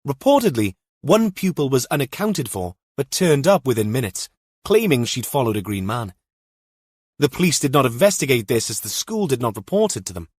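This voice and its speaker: A man's voice: medium pitch, big pitch swings, a little fast, darkish tone, slightly rough, no room sound, clean studio sound, pitched above the performer's own voice. A man voicing an earnest male television news correspondent giving an urgent, formal live report in an audiobook.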